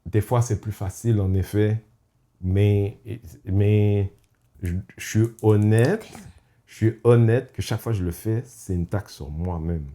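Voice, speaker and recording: A man with a low voice and a warm, mellow tone talks in a casual podcast chat. He is reflective and halting, restarts his sentences often, and slips English phrases into what he says. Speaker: podcast host.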